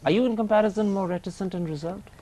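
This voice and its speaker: A man's voice: sits high, fast talker, warm tone, slight background noise. A male interviewer asking direct, probing questions on a TV interview show.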